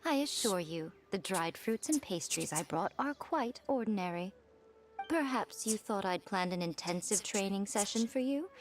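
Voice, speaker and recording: A woman's voice: mid-range voice, expressive, normal speed, soft background music, natural voice. An actress playing a poised, worldly and playfully teasing woman with genteel phrasing in an anime fantasy game. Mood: teasing, instructive.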